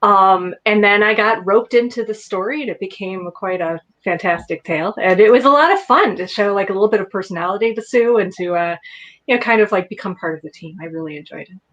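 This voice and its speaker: A woman's voice: mid-range voice, fast, fairly bright tone. A woman speaking casually as an interview guest, thoughtful and reflective, over muffled online-call audio. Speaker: podcast guest, actress.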